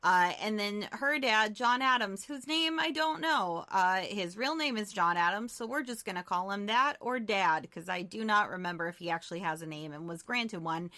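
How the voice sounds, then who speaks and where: A woman's voice: mid-range voice, expressive, normal speed, hardly any pauses, bright, forceful tone. A woman co-hosting a podcast, casually recapping a movie in an irreverent, jokey, sweary way with self-deprecating humor. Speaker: podcast co-host.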